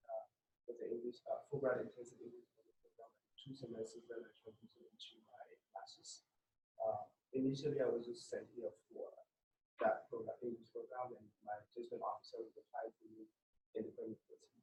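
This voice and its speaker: A man whose first language is French, a graduate student introducing himself on a panel, speaking hesitantly with many pauses. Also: mid-range voice, darkish tone, echoey room, slight background noise, rough recording.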